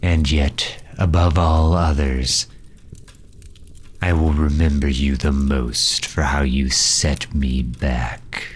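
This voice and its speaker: A man playing a grave, haughty dark knight who absorbs souls, speaking formally and deliberately with a deep, breathy, husky voice in an audio roleplay. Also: fairly even intonation, full character voice. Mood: brooding, solemn. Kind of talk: slow dramatic audio roleplay monologue.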